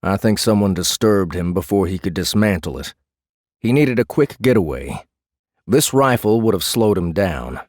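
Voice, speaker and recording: Renegade space marshal, lowish male voice, close dry sound, measured and deliberate, protective, dryly teasing, audiobook drama. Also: animated, a little mellow, dry recording. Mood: measured, probing.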